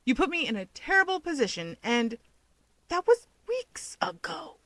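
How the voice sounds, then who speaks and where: A woman's voice: very high-pitched, sing-song, normal speed, creaky, a bit bright, no room sound, pitched above the performer's own voice, heavily acted. A woman voicing a cocky, insistent young male hero from a fan story, with a taunting, emphatic delivery. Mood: angry, accusing.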